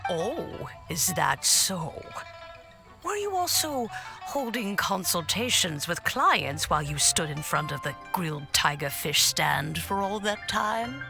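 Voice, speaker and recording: An elderly grandmother figure, lowish in pitch and lively in inflection. She teases gently and speaks warmly at an easy pace, with music in the background. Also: gravelly, full character voice. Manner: warm, gently teasing, unhurried. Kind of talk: game cutscene voice acting.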